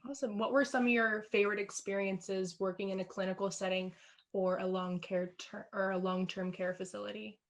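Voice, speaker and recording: A woman hosts a podcast interview, asking questions in a casual, loosely phrased way. Her tone stays relaxed and conversational. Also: medium pitch, a little fast.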